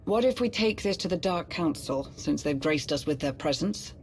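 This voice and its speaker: A woman's voice: low voice, lively intonation, moderate pace, slight background noise. A woman playing a cautious, formal military adviser in a scripted video game, pointed in her questioning. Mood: worried, insistent.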